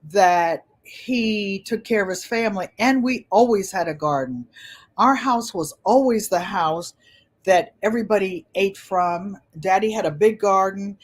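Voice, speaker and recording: Gentle-voiced woman in her seventies. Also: mid-range voice. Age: 70s. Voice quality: gentle, pleasant voice. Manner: chatty, rambling, repeats phrases. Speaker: channel host. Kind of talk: casual livestream chat.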